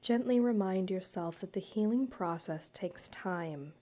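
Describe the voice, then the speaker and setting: A woman guiding a meditation, speaking to the listener in a soothing, measured way with deliberate pauses. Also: medium pitch, dark tone. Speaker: guided meditation host, counselor and yoga teacher. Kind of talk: gentle guided meditation narration, addressed to the listener.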